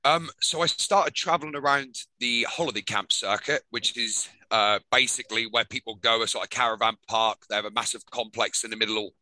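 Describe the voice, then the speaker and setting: A man's voice: medium pitch, fairly quick, few pauses, pushed and bright, no room sound, rough recording. An English man in his thirties from England, a wrestler telling his story candidly in a casual interview, with lots of ums. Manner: frequent ums, candid and chatty. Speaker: guest, professional wrestler.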